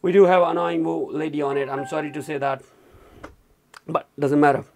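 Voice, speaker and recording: Higher-pitched man reviewing a gadget. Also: fairly even intonation, a little fast, a little hiss or hum. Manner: informal, repeatedly addresses viewers as guys. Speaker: product reviewer. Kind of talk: hands-on gadget review demonstration. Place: indoors at a desk.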